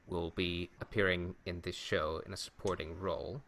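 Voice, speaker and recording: A man hosting a podcast in casual chat, talking in a halting, stop-and-start way. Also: sits low, fairly even intonation, vocal fry, a bit bright. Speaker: podcast host. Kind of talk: casual chat about Star Wars rumors.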